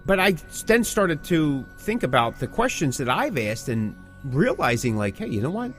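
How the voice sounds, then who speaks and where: A man's voice: on the high side, sing-song, normal speed, faint music behind. A man co-hosting a conversational podcast, speaking emphatically in short phrases and posing rhetorical questions. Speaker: podcast co-host and music interviewer. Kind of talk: conversational music industry podcast talk.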